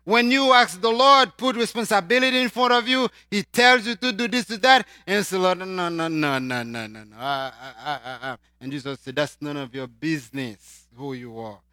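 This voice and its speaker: A man from Haiti with a Haitian accent, preaching a sermon and swinging between loud emphatic lines and quiet asides. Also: high voice, normal speed, hardly any pauses, no echo, studio quality.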